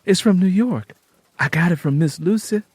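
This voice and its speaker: A male narrator plays an earnest Southern country woman in an audio drama. The voice is lifted high and soft-edged, with plain folksy phrasing and an urgent, coaxing manner. Music sits under it. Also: moderate pace, breathy edge, no room sound. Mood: gentle coaxing.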